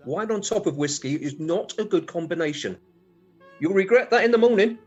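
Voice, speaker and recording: A man's voice: high-pitched, expressive, moderate pace, a little mellow, lo-fi sound, soft background music. A man playing a grumpy, dismissive middle-aged British husband in a radio comedy play, sardonic and grumbling. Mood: irritable.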